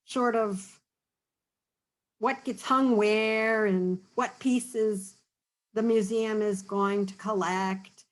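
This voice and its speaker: A woman reading a picture book aloud to children, pausing to explain words and ask gentle questions. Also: on the high side, low-quality recording. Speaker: storytime reader.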